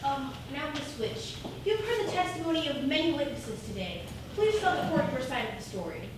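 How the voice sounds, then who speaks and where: A woman's voice: sits high, sing-song, normal speed. A woman playing a pointed, indignant defence lawyer in a theatrical fairy-tale courtroom comedy. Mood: probing. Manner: accusatory, pointed. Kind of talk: theatrical stage comedy acting. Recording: distant, very echoey, noisy stage recording.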